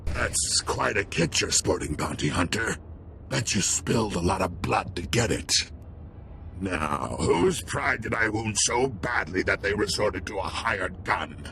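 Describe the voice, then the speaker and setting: An actor playing a proud, menacing alien ex-soldier villain in a video game, gravelly, taunting and boastful. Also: male voice, on the low side, lively intonation, measured pace, fairly bright tone, a little hiss or hum, full character voice.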